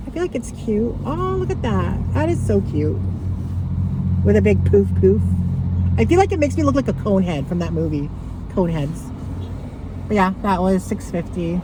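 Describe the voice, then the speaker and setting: A woman talking casually to camera about her thrift finds, rambling with lots of fillers and a loud voice. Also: medium pitch, animated, normal speed, pauses often, noisy background. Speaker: thrift haul vlogger.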